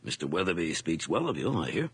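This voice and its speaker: An actor playing a stolid night watch officer in an old radio drama, questioning in a measured, official way. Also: male voice, sits low, very animated, breathy edge, dry recording, exaggerated voice. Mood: sociable, relaxed.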